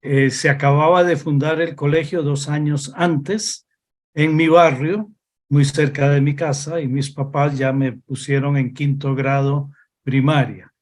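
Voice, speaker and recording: An older man from Costa Rica, a religious brother, answering interview questions slowly and reflectively with frequent pauses. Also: on the high side, fairly even intonation. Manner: slow, reflective, hesitant with pauses. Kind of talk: interview over video call.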